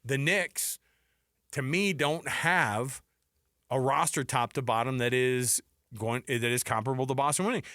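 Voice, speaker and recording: Bright, forceful American man talking sports. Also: mid-range voice, measured pace, no room sound, studio quality. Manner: animated, opinionated, rhetorical questions, restarts mid-thought. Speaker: sports radio co-host. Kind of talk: unscripted sports talk banter.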